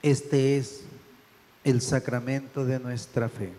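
Middle-aged priest praying in a reverberant church. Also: male voice, mid-range voice, little pitch movement, warm tone. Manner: reverent, measured phrasing. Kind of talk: solemn liturgical prayer recitation. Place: church altar, indoors. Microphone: stand microphone at the altar.

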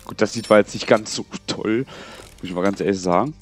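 Young male gaming host with a cold, slightly low voice, loose rambling commentary over faint game music. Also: brisk, breathy edge. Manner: chatty, rambling, muttering to himself while playing. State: has a cold. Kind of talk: casual let's play commentary.

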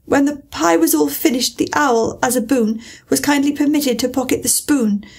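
A woman's voice: high-pitched, measured pace, darkish tone, husky, full character voice. A woman voicing Alice, a polite and puzzled young girl who recites timidly in a trembling voice.

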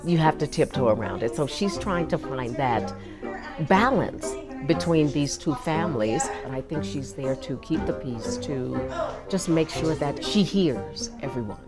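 A woman's voice: sits low, animated, measured pace. A woman stage actor talking in an interview about her play. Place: indoors in a theatre. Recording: music behind the voice. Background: music.

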